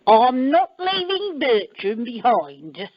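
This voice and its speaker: A woman's voice: a little high, sing-song, takes it slowly, no room sound, exaggerated voice. A woman playing a stubborn, confused elderly grandmother in an indignant, contrary way, in amateur radio comedy. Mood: defiant. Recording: noisy, muffled telephone conference line.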